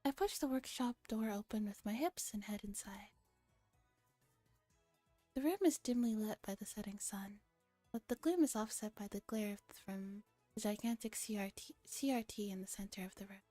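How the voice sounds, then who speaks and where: A woman streamer with a soft, breathy, often whispering voice, reading a story and chatting casually in a relaxed ASMR style. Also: medium pitch, normal speed, no room sound, faint music behind. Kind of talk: ASMR visual novel read-aloud with casual chat.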